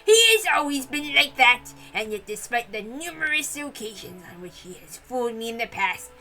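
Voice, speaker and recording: A woman plays a hearty old patriarch in a visual novel, fond and boisterous. The delivery swings widely and stays bright, with faint music behind. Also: a little high, big pitch swings, normal speed, full character voice. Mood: amused, fond.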